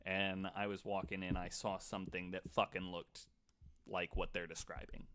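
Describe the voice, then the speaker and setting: A man's voice: sits low, level tone, normal speed, a bit bright, clear, resonant tone. A man hosting a podcast in casual, informal conversation, with some offhand swearing. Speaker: podcast host. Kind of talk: casual panel chat.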